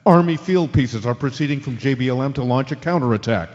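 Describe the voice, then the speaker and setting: A man acting as a steady radio news anchor during an alien invasion in a radio drama. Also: mid-range voice, fairly quick, close to an everyday voice. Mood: grave, urgent. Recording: dull lo-fi audio with long reverb.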